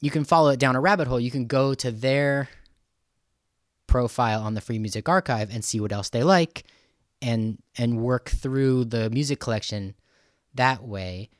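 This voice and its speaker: A man hosting a podcast in a casual, halting, thinking-aloud way as he talks with a guest. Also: medium pitch, no room sound, clean studio sound. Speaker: podcast host interviewing a guest. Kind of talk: casual conversational podcast talk.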